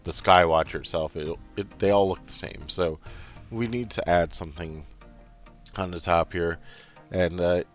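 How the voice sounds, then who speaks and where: A man giving casual, halting commentary over footage of a building game, with frequent filler pauses. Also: low voice, fairly even intonation, highs cut off, faint music behind. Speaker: video creator narrating gameplay.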